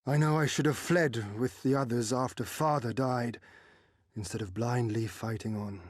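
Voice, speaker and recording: A man playing a proud, earnest noble warrior in a fantasy game cutscene, with formal, archaic, measured diction and a gravelly voice. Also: medium pitch, dry recording, clean studio sound, lightly acted. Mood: solemn, resolute. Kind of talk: dramatic game cutscene acting.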